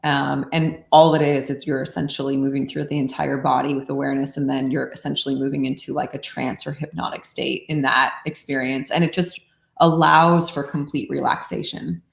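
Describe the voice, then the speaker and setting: A woman explaining meditation practice in a calm, unhurried way, often saying "like", in casual podcast conversation. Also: a bass voice, gravelly, reverberant. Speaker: meditation teacher.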